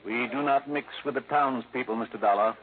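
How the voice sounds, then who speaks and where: An actor playing a stuffy, fussy furniture company manager, formal and easily scandalized, in a 1950s radio drama. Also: male voice, mid-range voice, brisk, crowd noise, close to an everyday voice. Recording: narrow, noisy old radio recording.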